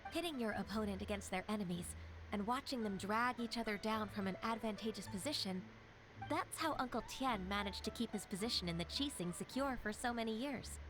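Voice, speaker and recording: A woman voicing a calm, courteous young secretary in a video game, measured and gently firm. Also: medium pitch, over music, close to an everyday voice, poor audio. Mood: explaining.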